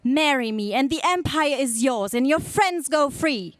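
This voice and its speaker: A woman's voice: high voice, expressive, a little slow, no echo. A woman playing a haughty, jealous young princess villain in a 1930s-style space radio serial. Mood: threatening. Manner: haughty, declamatory.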